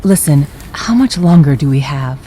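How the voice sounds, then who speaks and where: A woman's voice: low voice, animated, normal speed, dark tone. Cold female psychotherapist villain, controlled, condescending, game drama, music underneath. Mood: uneasy, evasive. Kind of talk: dramatic game cutscene dialogue. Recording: music behind the voice.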